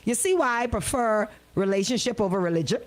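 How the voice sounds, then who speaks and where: A woman radio host with a Bahamian accent and a high voice. Her pitch swings widely as she banters in an exclamatory, mock-incredulous way. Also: expressive, fairly quick. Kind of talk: comic banter on a call-in radio show.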